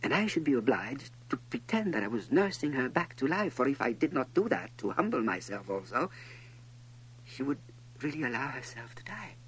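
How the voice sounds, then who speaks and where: A lonely, inquisitive little boy prince from a storybook, acted by a man. He sounds earnest and wistful, with raised, very mobile pitch over faint noise. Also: very animated, moderate pace, close to an everyday voice, low-quality recording. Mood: sad, reflective. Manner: earnest, questioning. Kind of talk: storybook reading.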